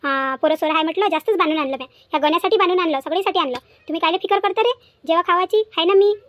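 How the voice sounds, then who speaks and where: Woman voicing a very shrill, bossy village auntie. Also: racing, no room sound. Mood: reassuring. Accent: Varhadi Marathi. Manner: scolding, grumbling, chatty. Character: bossy, fussing village auntie, caring about her workers. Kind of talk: cartoon comedy acting.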